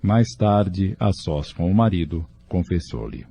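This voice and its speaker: A man's voice: sits low, animated, slow pace, mellow, a little hiss or hum. A man with a Brazilian accent giving a scripted, dramatic story narration. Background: music.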